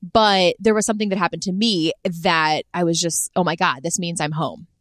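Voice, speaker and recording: A woman's voice: lowish voice, fairly even intonation, moderate pace, a bit bright, no echo, studio quality. Playful woman co-host in her twenties. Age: 20s. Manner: enthusiastic, agreeable, playful. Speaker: podcast co-host. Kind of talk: casual chat.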